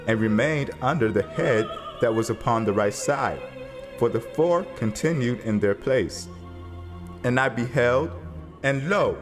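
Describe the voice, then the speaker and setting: A man narrating dramatized scripture in a solemn, measured storytelling manner. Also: medium pitch.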